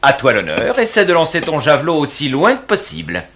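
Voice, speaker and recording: A clever cave man inventor in a cartoon, confident and teasing. His voice is slightly high and lively, with music behind. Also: brisk, full character voice. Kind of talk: French cartoon dub.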